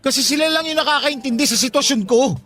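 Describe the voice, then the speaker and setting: A bitter, proud grown son. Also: male voice, very high voice, quick pace, pushed and bright. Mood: defensive bitter. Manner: defensive, bitter, pleading. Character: struggling adult son, proud, bitter, ashamed. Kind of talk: Tagalog radio drama acting.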